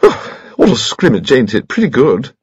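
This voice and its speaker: A man voicing a jolly, small, round elderly aristocrat with an extraordinarily high-pitched voice and old-fashioned colloquial phrasing. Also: wildly swooping pitch, moderate pace, husky, dry recording, muffled recording, exaggerated voice. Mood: chirpy.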